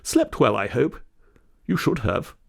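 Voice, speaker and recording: A man voicing a friendly, talkative old lady from a storybook, fussing briskly over a guest. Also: medium pitch, wildly swooping pitch, creaky, voice pushed up, exaggerated voice. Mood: chatty, welcoming.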